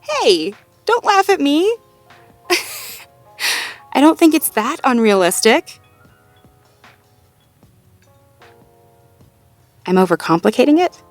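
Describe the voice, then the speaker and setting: A woman's voice: high voice, big pitch swings, takes it slowly, no echo, soft background music, full character voice. A woman playing a sweet, self-doubting, playfully wry girlfriend in an intimate audio roleplay, talking casually and conversationally with a partner. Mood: playfully defensive. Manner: conversational, casual, with sighs and soft chuckles. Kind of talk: intimate slice-of-life audio roleplay acting, one-sided conversation.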